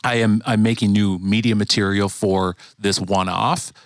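A man hosting a church podcast in casual chat, with a deep radio voice he works close to the mic. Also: fairly even intonation, moderate pace, dry recording. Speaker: podcast host, church media maker and bass player.

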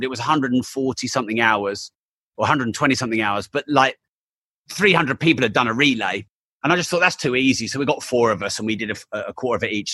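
Entrepreneur guest, bright forceful tone, dry close recording, energetic chatter. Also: male voice, lowish voice, husky, dry recording. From England. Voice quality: voice still not fully recovered. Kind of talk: casual interview chat.

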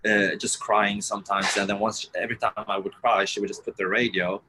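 A man from Sweden, a guest author telling his life story in an interview, soft-spoken and halting, with many restarts. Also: fairly low pitch, little pitch movement, noisy background.

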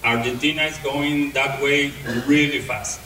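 A man with a non-native English accent giving a talk to an audience, speaking haltingly with many pauses and restarts. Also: medium pitch, little pitch movement, sharp tone, slight background noise. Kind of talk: presentation to an audience. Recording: echoey hall, voice from far off.

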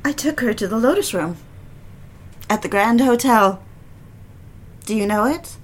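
A troubled, suspicious wife in an audio drama, acted by a woman, speaks in a high voice. She draws her words out slowly, with a mocking, theatrical edge and a drunken bitterness. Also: animated. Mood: needling, tipsy. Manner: theatrical, mocking, slow and needling.

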